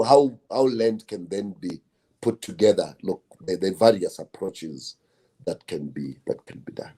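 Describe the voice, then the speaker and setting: A man speaking as a guest on a video call, halting and repeating words as he explains. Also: low voice, mellow. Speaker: guest panelist.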